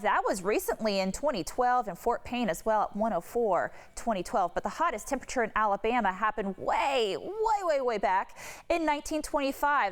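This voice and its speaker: A woman with a fairly high voice delivers a television weather forecast. She speaks off the cuff as a meteorologist, explaining in a conversational way and leaning on repeated words for emphasis.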